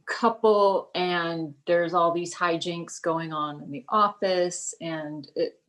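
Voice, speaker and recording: A fairly low-voiced woman in her sixties talks over a video call. She is chatty and easygoing in a casual interview, laughing lightly between thoughts. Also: fairly even intonation, slow pace, fairly bright tone. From Kansas, US Midwest. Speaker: guest, writer.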